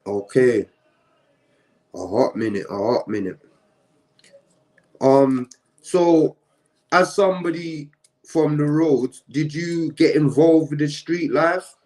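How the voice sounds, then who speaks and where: A man's voice: fairly high pitch, slow, long pauses, poor audio. A man hosting a live-streamed interview, chatting casually and often tagging his questions with slang. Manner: relaxed, uses slang tags.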